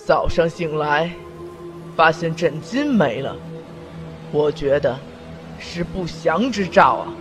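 A man playing a slow, brooding demon lord. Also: on the high side, expressive. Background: background music.

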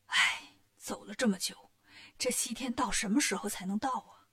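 A woman's voice: mid-range voice, wildly swooping pitch, normal speed, pushed and bright, pitched below the performer's own voice, heavily acted. Woman acting out male monk master, storybook tale, timid, gullible, fretful, weary sighs. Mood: weary, worried. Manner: sighing, fretful, plaintive.

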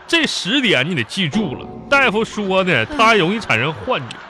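A man's voice: sits high, very animated, normal speed, over music. A man playing a sleepy, exasperated, henpecked husband in a comedy radio drama, with a Northeastern Chinese accent and a whiny, grumbling delivery. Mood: weary coaxing.

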